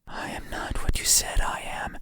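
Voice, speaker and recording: An actor voicing a creepy, intimate bedside monster in a horror game, pleading and menacing in a breathy, insistent whisper. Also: bright, forceful tone, natural voice. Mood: accusing, eerie. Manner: slow, insistent, eerily deliberate.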